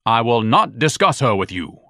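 Brief: male narrator voicing a pompous blowhard, lively swooping delivery, dry close sound. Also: medium pitch, normal speed, no room sound. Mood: haughty. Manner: bombastic, grandiloquent, scolding. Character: pompous huge eccentric man, lofty and indignant.

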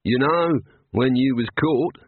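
An inquisitive ballad writer, voiced by a male narrator, politely persistent and colloquial in a storybook scene. The voice is husky and sounds thin, as if down a phone line. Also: mid-range voice, slow pace, dry recording, voice pushed up. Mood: eager, polite. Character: eager, nosy, apologetic hidden ballad writer.

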